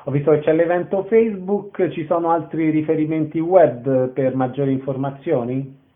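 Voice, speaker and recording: A man's voice: on the high side, normal speed. A man working as an interviewer, speaking briefly and matter-of-factly through a narrow telephone-like sound.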